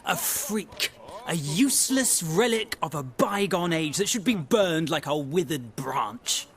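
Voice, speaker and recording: A zealous street preacher for a video game. He declaims slowly in a raised pitch, pompous and full of scorn. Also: sing-song, a bit bright, full character voice. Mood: contemptuous.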